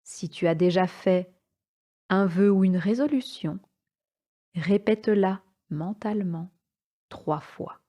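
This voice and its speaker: Female meditation guide, soft mellow tone, very slow and calm delivery, short phrases with spacious pauses. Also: mid-range voice, muffled recording. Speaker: yoga nidra instructor.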